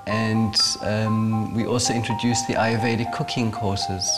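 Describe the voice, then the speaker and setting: A man talks through his path into healing work in a measured, low voice that barely moves in pitch. He pauses often with fillers. Music plays under him throughout. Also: flat delivery. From South Africa. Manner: hesitant, with many fillers. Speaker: interviewee, therapist. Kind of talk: reflective interview.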